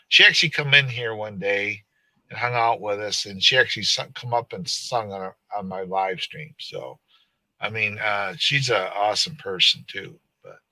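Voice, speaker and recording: A man hosts a laid-back live stream, chatting and ribbing his friends. His voice is sharp and bright. The sound is dry and close, with no room around it. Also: fairly low pitch, no echo, low-quality recording. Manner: rambling, joking, laughs often. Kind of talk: casual live stream chat.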